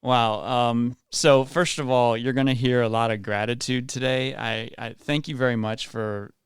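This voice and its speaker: A man's voice: mid-range voice, fairly even intonation, moderate pace, clear, resonant tone, dry recording, clean studio sound. A man around forty from West Virginia, a community leader speaking earnestly and effusively as a guest in a radio interview. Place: radio studio.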